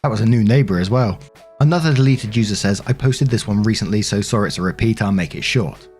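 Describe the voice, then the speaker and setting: A man's voice: on the low side, fast, a little mellow, breathy edge, soft background music. A British man narrating online stories aloud in an informal, colloquial way, as a host with casual commentary.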